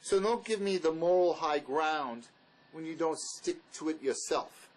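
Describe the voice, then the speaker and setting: A man's voice: fairly high pitch, measured pace, natural voice. A man acting a bitter, blunt fugitive drifter in a dramatic script reading, sarcastic and cutting.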